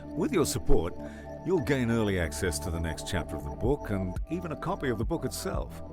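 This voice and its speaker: A man reads a scripted promotional announcement with hugely animated intonation. His voice is fairly low, with music clearly playing behind it. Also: a little fast. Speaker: voice actor.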